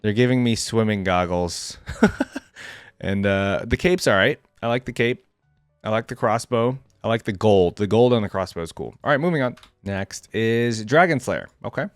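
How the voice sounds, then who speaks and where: A man's voice: lowish voice, moderate pace, clean tone, no room sound, clean studio sound. A man hosting a reaction video, giving casual, slangy gaming commentary as he thinks aloud.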